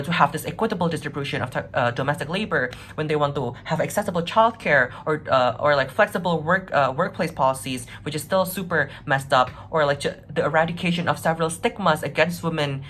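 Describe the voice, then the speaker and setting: Female debater, low register, earnest and conversational argumentation, faint noise behind the voice. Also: brisk, few pauses, a bit bright. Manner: earnest, conversational, frequent 'right?' and 'like' tags. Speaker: competitive debater, first affirmative speaker. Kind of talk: argumentative debate speech, semi-improvised.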